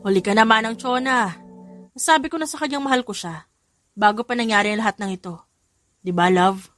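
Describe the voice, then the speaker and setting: A woman's voice: a little high, fairly quick, a bit bright, no room sound, studio quality, close to an everyday voice. Woman voicing a devoted, playful young husband over music. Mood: playful, affectionate.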